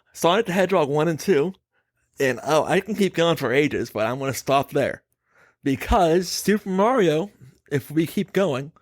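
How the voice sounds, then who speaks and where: Male podcast host, somewhat high pitch, dry sound, casual chat, emphatic, opinionated, loose swearing. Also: dry recording.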